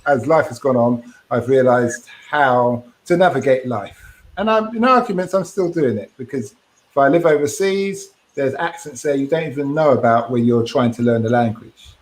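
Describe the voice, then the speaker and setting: A man's voice: medium pitch, moderate pace, poor audio. A man co-hosting a podcast, chatting casually and thoughtfully over a video call, often restarting his phrases. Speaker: podcast co-host.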